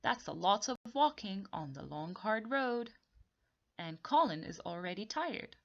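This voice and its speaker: A woman teacher leading a read-along story lesson for young children, explaining things slowly and deliberately with repetition. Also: medium pitch, very animated, creaky, highs cut off. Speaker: teacher reading aloud.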